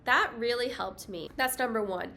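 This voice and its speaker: A young woman with a higher-pitched voice gives safety tips in a casual vlog, chatty and self-deprecating, with light laughs. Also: moderate pace, creaky, bright, forceful tone. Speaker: travel vlogger. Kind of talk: casual talking-head vlog.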